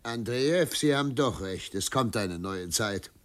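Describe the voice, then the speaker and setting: Genial old governor played by a man, mid-pitched. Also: expressive, unhurried, natural voice. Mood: amused musing. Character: genial, self-mocking old governor, a doting father. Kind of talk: radio drama dialogue.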